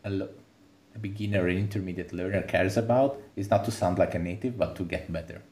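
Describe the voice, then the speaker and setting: A man hosting a podcast. He talks softly and hesitantly in a casual conversation. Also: low-pitched, steady tone, fast, roomy sound. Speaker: podcast host.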